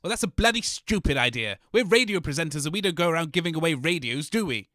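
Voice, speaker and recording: A man hosting a scripted comedy radio show, grumpy, sarcastic and quick to insult his callers. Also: on the high side, expressive, fairly bright tone, no echo, studio quality.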